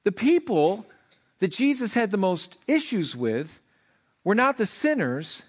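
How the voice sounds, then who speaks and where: A middle-aged man, a hoarse pastor, preaching a sermon in an animated way. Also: unhurried. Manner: animated preaching, rising in pitch for emphasis.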